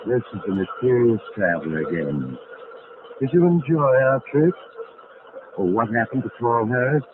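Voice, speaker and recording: A man's voice: mid-range voice, animated, normal speed, close to an everyday voice. Actor as suave sinister man, crackly old radio. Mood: slyly genial. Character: suave, sinister male mystery figure, coolly mocking. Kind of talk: 1940s radio mystery acting. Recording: noisy, tinny vintage radio recording.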